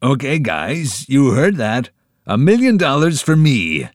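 A man puts on a talking pig from a storybook, brainy and pleased with himself, his voice climbing above a low natural pitch. He stretches his words out slowly, like a showman. The reading is close and dry. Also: very animated, no echo. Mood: gleeful.

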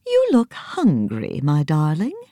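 A woman voicing Hati, a kindly, motherly female elephant, speaking low, slow and tender. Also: wildly swooping pitch, dark tone, no room sound, studio quality, heavily acted.

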